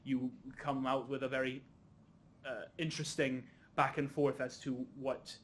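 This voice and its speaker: A young man with a slight Scottish accent moderating a panel discussion, speaking in measured, formal phrasing with repeated intensifiers. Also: medium pitch, little pitch movement, a bit bright, slight background noise. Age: young adult.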